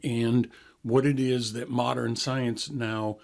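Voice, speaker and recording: A man's voice: mid-range voice, little pitch movement, slow pace, gravelly. A man in his sixties hosting a health radio show, explaining things in a chatty, easygoing way and laughing now and then. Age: 60s. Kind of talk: casual radio talk.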